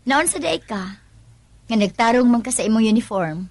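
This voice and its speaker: A woman's voice: a little high, lively intonation, moderate pace, darkish tone, no echo. A woman acting a coy, probing female character in a radio drama, with a Cebuano accent. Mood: suspicious, gossipy.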